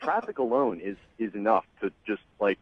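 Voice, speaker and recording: A man on a phone call to a radio show, speaking hesitantly with many pauses, restarts and "um"s. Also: on the low side, animated, breathy edge. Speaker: caller on the phone. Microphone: telephone. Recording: muffled phone line.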